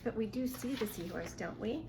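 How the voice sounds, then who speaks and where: A woman reading a picture book aloud to young children in an expressive, questioning way. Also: fairly high pitch, moderate pace, background noise. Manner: expressive, asks the listener questions. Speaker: children's storybook reader.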